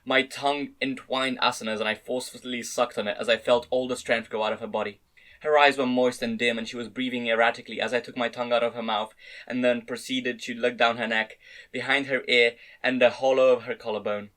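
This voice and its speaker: A man's voice: medium pitch, little pitch movement, a little fast, fairly bright tone, low-quality recording. A man narrating a first-person story as an amateur dramatic reading. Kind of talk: dramatic reading of fan-read light novel narration.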